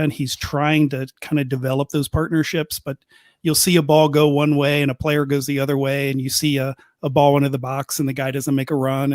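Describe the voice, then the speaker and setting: Midwest guy breaking down soccer, slightly high voice, lots of uhs, dry sound. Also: dry recording. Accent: American Midwest. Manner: measured, lots of uh fillers. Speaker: co-host. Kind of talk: casual sports analysis.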